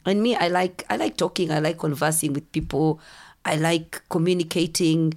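A middle-aged woman from Kenya, telling lively stories as a podcast guest and acting out the voices of people she quotes. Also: low voice, moderate pace. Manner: animated storyteller, acts out quoted voices. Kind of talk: casual storytelling interview.

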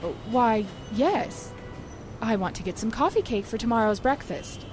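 A woman's voice: fairly high pitch, big pitch swings, moderate pace, music in the background. A woman playing a mild, trusting small-town housewife with a hesitant, earnest manner in a 1940s-style radio drama. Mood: polite.

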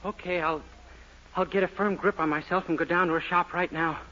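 A man's voice: high-pitched, steady tone, brisk, bright, forceful tone, highs cut off. An actor playing a naive, bashful young man who gets flustered easily, in 1940s radio sitcom acting.

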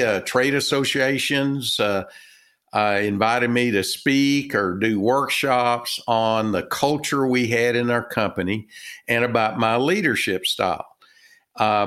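A middle-aged man from Texas with a Texan accent, a guest telling his story in a halting way with many fillers and restarts. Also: medium pitch, fairly bright tone. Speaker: guest, retired business owner and author. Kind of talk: casual interview talk.

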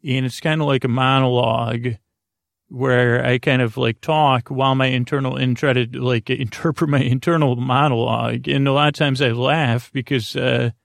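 A male podcast host rambles through a bedtime monologue in a gravelly, creaky voice at an ordinary male pitch. He meanders, halts and restarts his thoughts mid-sentence. The recording is very dry, with no room sound around the voice. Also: steady tone, dry recording. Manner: meandering, halting, self-interrupting.

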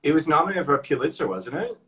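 A man's voice: a little high, sing-song, quick pace, pushed and bright. American man hosting a casual chat. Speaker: podcast interviewer, accent coach.

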